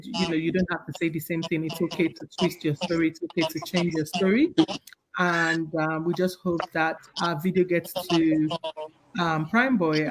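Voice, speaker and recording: A woman's voice: fairly low pitch, a little hiss or hum, rough recording. A woman hosting an online panel discussion over a video call, talking earnestly with many fillers. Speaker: host.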